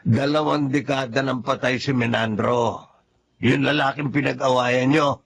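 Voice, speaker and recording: Gravelly, chiding older man. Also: mid-range voice, fairly even intonation, unhurried. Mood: teasing. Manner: chiding, wry. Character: weary, teasing older man acting as exasperated peacemaker.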